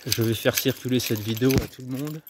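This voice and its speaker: A man's voice: mid-range voice, a little fast. A man from France chatting casually to camera while walking outdoors, rambling and upbeat about personal development. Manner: chatty, rambling, self-promoting, laughs at himself. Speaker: YouTuber and personal development coach. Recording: faint street noise and jangling keys.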